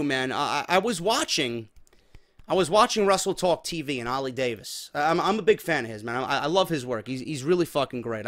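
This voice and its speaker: Man, New York accent, slightly high voice, casual podcast host, emphatic, swears freely. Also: a bit bright. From New York, USA. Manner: informal, heavy swearing, emphatic. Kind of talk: casual chat to viewers.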